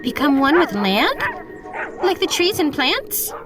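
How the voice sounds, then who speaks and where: A woman's voice: high voice, very animated, slow, exaggerated voice. A woman voicing a curious little girl. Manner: curious, questioning. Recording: music behind. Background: music.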